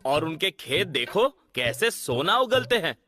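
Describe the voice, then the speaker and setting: A cheerful, gossipy villager talks in a high, bright voice with lively swings. Soft music plays behind him. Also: male voice, unhurried, exaggerated voice. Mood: chatty. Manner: admiring, chatty. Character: admiring village man, cheerful.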